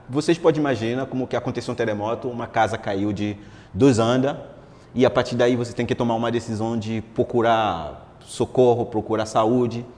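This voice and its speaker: Haitian-accented man with a low voice. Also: darkish tone. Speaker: guest speaker, entrepreneur. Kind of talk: personal story at a talk show. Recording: hall reverb, faint ambient noise.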